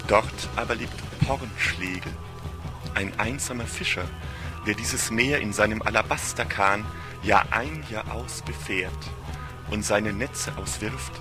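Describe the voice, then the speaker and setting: A man's voice: on the low side, slow pace, over music. A man narrating a literary audio story in a solemn, ornate fairy-tale storytelling manner.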